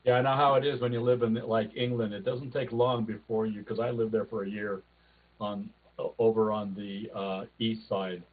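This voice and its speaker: Joking host on a tinny call. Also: male voice, fairly low pitch, little pitch movement, moderate pace. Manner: joking, rambling. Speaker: club meeting host. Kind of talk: casual video call chat.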